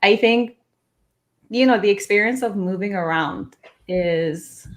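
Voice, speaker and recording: A woman's voice: medium pitch, takes it slowly. A young woman from Iran, an immigration lawyer, talking casually as an interview guest, laughing often and restarting her sentences.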